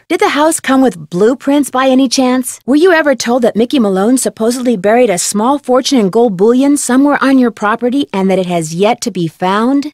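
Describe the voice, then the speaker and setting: A woman playing a curious, polite young female detective in a video game, asking questions in a clear, inquisitive manner. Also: on the high side, a little mellow, no room sound, clean studio sound. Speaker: game protagonist. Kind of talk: scripted video game dialogue.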